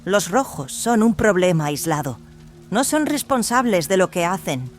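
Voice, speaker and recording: An actor voicing a gentle female clone in an audio drama, explaining things earnestly and pleadingly. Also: medium pitch, sing-song, moderate pace, natural voice. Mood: pleading, uneasy. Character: soft-spoken, pleading female clone, peaceable and evasive. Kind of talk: dramatized audio fiction acting. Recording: music behind the voice.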